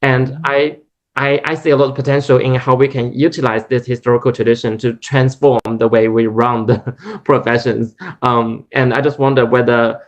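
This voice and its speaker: A man's voice: mid-range voice, flat delivery, moderate pace. A man whose first language is Chinese, asking a long, hesitant academic question at a lecture, full of restarts. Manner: hesitant, many restarts and fillers. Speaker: audience member asking questions. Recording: dull lo-fi recording.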